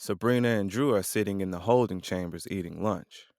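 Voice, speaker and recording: A man's voice: low-pitched, a little fast, darkish tone, no room sound, studio quality. A man reading scripted story narration for an audio drama.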